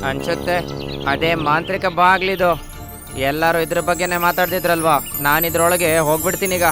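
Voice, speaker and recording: A man's voice: on the high side, steady tone, moderate pace, music in the background, lightly acted. A man voicing a brave, good-hearted little parrot who speaks earnestly in a cartoon story. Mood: curious.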